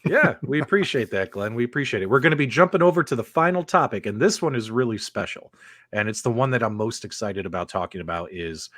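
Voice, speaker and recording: A man's voice: medium pitch, animated, fast, a bit bright, dry recording. A man hosting a casual live-stream panel show, chatting informally and teasing his guests. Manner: jokey, teasing, addresses guests and viewers informally. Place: remote online video call.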